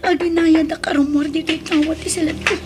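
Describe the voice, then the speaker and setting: A woman's voice: extremely high pitch, level tone, a little fast, loud music behind the voice. A woman with an Ilocano accent acting in a radio drama as a humble, anxious housemaid, deferential and pleading. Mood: frightened. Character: humble, anxious young married housemaid, fearful but resourceful. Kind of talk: Filipino radio drama acting with inner monologue. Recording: noisy radio drama recording.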